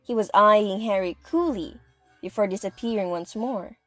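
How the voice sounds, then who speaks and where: A woman narrates a scripted story in a vivid, dramatic way. Her pitch is in the middle range, and soft music plays under her voice. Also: normal speed.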